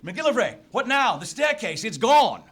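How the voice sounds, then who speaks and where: A man's voice: extremely high pitch, big pitch swings, moderate pace, a bit bright, husky, heavily acted. An actor playing an earnest adventurer who narrates his own tale in a live radio play, emphatic and suspenseful. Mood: alarmed.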